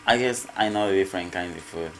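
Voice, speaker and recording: A man with a Mexican Spanish accent, Spanish his first language, answering interview questions in halting English and often repeating words. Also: deep voice, vocal fry, noisy background. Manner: halting, repeats words, non-native grammar. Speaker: restaurant cook. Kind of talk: casual interview.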